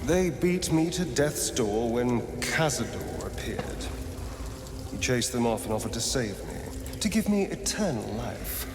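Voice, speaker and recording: A man's voice: mid-range voice, big pitch swings. A suave vampire spawn from a fantasy game, with music behind him in a reverberant space. His speech swoops dramatically, arch and bitter, lingering on key words as if centuries of resentment sit under the polish. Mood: bitter, brooding. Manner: theatrical, arch, drawn-out emphatic phrasing. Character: suave, bitter male vampire spawn, two centuries old, former magistrate. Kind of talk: theatrical video game dialogue.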